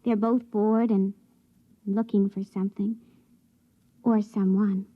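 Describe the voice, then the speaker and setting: A woman's voice: medium pitch, little pitch movement, takes it slowly. A woman acting as a shy, starry-eyed young assistant in a 1950s radio drama, hesitant and tender. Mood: hopeful, shy. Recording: thin phone-like vintage radio recording.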